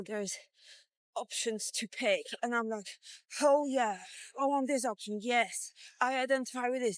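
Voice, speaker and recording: A woman's voice: fairly high pitch, a bit bright, clear, resonant tone, no echo. A woman in her fifties talking casually in a solo vlog, blunt and sweary, thinking aloud as she goes. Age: 50s. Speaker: vlogger. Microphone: walkie-talkie vlog, recorded on the go.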